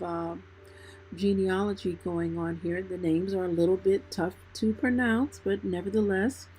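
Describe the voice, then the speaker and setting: Female livestream host for scripture reading, mid-pitched and relaxed, taking her time. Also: rough recording. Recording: music playing behind the voice. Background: background music.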